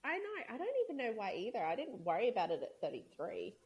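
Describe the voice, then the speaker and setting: A woman's voice: medium pitch, big pitch swings, fast talker, muffled recording, slight background noise. A woman in her thirties co-hosting a podcast, chatting casually, rambling and laughing often. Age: 30s. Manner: giggly, rambling. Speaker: podcast co-host. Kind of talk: casual chat.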